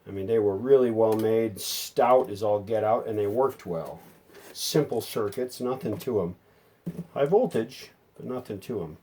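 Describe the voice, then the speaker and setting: A man's voice: on the low side, unhurried, mellow, breathy edge. A man narrating a hands-on repair unscripted, thinking aloud in a folksy way. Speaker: hobbyist repairer presenting a how-to video.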